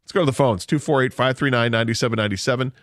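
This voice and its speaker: A man's voice: medium pitch, fast, fairly bright tone, a little hiss or hum. A man hosting a sports talk radio show, opinionated and emphatic, laughing easily.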